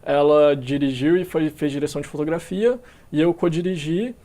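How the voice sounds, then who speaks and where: A young man from Minas Gerais, a musician being interviewed, talking in a relaxed way with many fillers and restarts. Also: fairly high pitch, moderate pace. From Minas Gerais, Brazil. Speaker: musician guest. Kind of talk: casual interview talk.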